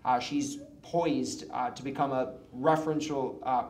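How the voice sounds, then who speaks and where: Halting host in a roomy room. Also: male voice, mid-range voice, steady tone, darkish tone. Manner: halting, fillers, reads with slips. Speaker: interview host. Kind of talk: reading a guest bio, then casual interview. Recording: roomy, from a distance.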